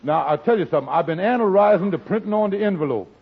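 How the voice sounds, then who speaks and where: An actor playing a lazy, blustering, scheming husband in a folksy, ungrammatical 1940s radio sitcom style, with a husky voice. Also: male voice, high voice, expressive, fast talker, exaggerated voice. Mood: insistent. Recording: muffled, husky old radio recording.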